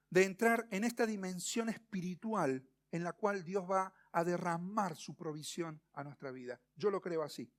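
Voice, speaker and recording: High-pitched Argentine man preaching. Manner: didactic, rhetorical questions, emphatic pauses. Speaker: pastor preaching. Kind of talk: expository sermon.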